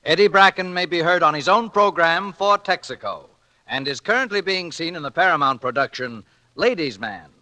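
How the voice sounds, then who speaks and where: Bright-voiced male radio announcer. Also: a little high, expressive, moderate pace, dry recording. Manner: crisp, promotional. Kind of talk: program closing announcement.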